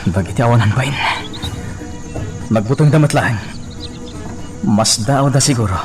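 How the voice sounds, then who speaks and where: A man's voice: medium pitch, normal speed, over music, natural voice. A man playing a wronged, earnest and defiant young farm laborer in an Ilocano radio drama.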